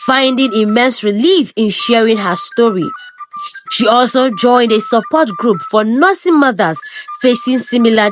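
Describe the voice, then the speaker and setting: A woman tells a folk tale in a high voice that rises and falls freely, keeping a steady storytelling pace. Music plays clearly beneath her narration. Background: background music.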